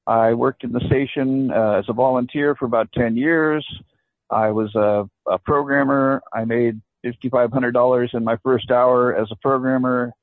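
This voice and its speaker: A man's voice: mid-range voice, fairly even intonation, normal speed, dry recording. A man calling in to a public meeting by phone, aggrieved and insistent. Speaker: caller. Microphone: phone line. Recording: tinny dry phone line.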